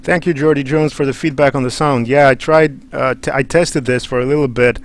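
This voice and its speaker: A man's voice: mid-range voice, fairly even intonation, a little fast. A man from Romania, chatting casually and a little hesitantly as he hosts a live game stream on a headset microphone. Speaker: livestream host, gamer. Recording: noisy headset with game sound behind.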